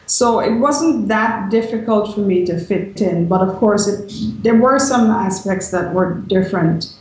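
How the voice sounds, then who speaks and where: A woman from Guyana, a lawyer, answering interview questions in a thoughtful way with frequent pauses and ums. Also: medium pitch, a little mellow. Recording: very echoey room.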